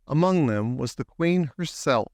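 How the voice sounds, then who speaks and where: Unhurried, crisp male storybook narrator. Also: fairly high pitch, lively intonation, darkish tone, no room sound. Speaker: audiobook narrator. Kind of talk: scripted children's story narration.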